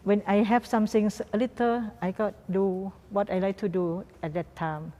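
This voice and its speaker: A woman from Thailand with a Thai accent, a guest artist answering interview questions in halting, simple non-native English and repeating words. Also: medium pitch, steady tone, dark tone, slight background noise. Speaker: guest artist and doll maker. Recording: reverberant room.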